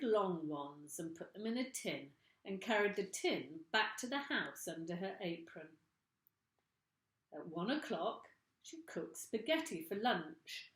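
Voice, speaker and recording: Woman teacher, storybook narrator, slow and lively, echoey room. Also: mid-range voice. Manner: slow, expressive reading. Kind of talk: storybook reading for children.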